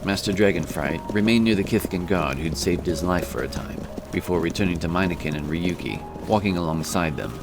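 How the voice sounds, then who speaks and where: A man narrates a scripted fantasy tale in a very low voice. Music plays clearly beneath the reading, as in a produced audiobook. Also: brisk, few pauses.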